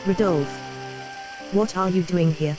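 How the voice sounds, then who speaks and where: An actress playing a gentle, frail young noblewoman in a video game, with formal, measured, polite phrasing. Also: fairly low pitch, close to an everyday voice, rough recording. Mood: surprised. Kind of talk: scripted video game dialogue. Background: game music.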